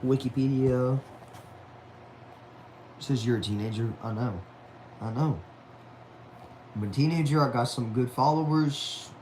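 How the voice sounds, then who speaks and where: A man's voice: mid-range voice, a little slow, long pauses, a little mellow, a little hiss or hum, rough recording. A man of about twenty chatting casually on a livestream at home, reading viewer messages aloud in a laid-back, talkative way, dropping "y'all" and "man". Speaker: livestream host.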